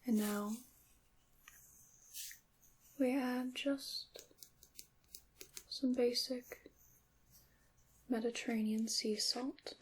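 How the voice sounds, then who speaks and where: Slow, whispery woman in witchy ASMR roleplay. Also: a little high, steady tone, husky, low-quality recording. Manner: soft, unhurried, whispery, formal phrasing. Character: gentle witch guiding a salt-making ritual. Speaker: ASMR performer.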